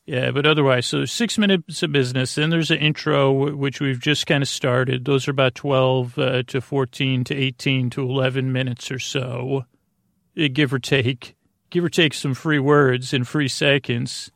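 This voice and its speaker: Man, mid-pitched, gravelly fry, dry sound, rambling sleep-podcast monologue, halting, tangent-prone. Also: steady tone, no echo. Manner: meandering, halting, self-interrupting with tangents. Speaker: podcast host. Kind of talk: rambling bedtime monologue to help listeners sleep.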